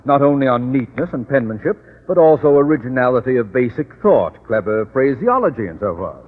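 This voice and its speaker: A man's voice: mid-range voice, big pitch swings, fairly quick. An actor playing a pompous, autocratic, short-tempered male principal in old radio comedy, speaking in long-winded, orotund phrases. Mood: long-winded, officious. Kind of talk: 1940s-50s radio comedy acting. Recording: tinny, narrow old radio recording.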